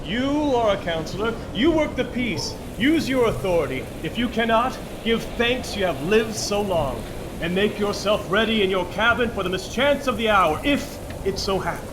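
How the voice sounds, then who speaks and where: A man's voice: sits high, expressive, moderate pace. A man playing a blustering, insolent ship's bosun barking orders in a Shakespearean audio drama. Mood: brusque, impatient. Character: bawling, insolent, harried ship's bosun in a storm. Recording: clear noise, online remote recording, storm ambience.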